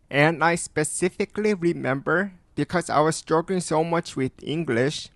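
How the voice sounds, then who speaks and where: A man from South Korea with a light Korean accent, narrating a personal story in a calm, earnest, conversational way. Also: a little high, no room sound, clean studio sound. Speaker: animation storytime creator.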